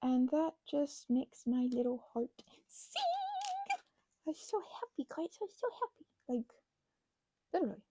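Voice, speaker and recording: A woman rambling casually as she crafts, talking in a gushing, halting way and often interrupting herself. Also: sits high, expressive, dark tone, slightly rough. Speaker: hobby ASMR and roleplay audio creator.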